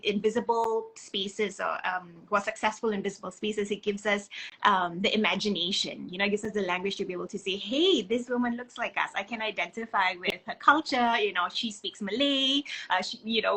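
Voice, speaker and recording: A woman's voice: medium pitch, fairly bright tone, breathy edge, rough recording. A woman from Singapore hosting a conversational interview, speaking effusively and repeating words for emphasis. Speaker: interview host.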